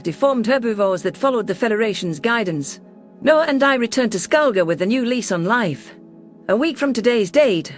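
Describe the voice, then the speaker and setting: A woman's voice: on the high side, natural voice. A woman voicing a defiant, protective female alien ambassador, telling her story in a sci-fi audio drama with earnest assertiveness. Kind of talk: science fiction audio drama, first-person narration and dialogue.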